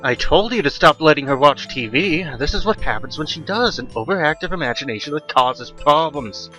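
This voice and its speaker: A man's voice: fairly high pitch, animated, fairly quick, bright, forceful tone, soft background music, pitched above the performer's own voice, poor audio. Man acting a grumpy middle-aged dad. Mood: exasperated. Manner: exasperated, complaining. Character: grumpy forty-six-year-old father, irritable and dismissive.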